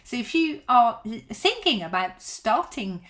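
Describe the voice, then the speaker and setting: A woman's voice: a little high, sing-song, measured pace, a bit bright. Dutch-native lady violin teacher, middle-aged, chatty live q&a, reassuring. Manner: chatty, reassuring, answers viewer comments. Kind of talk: live-stream Q&A.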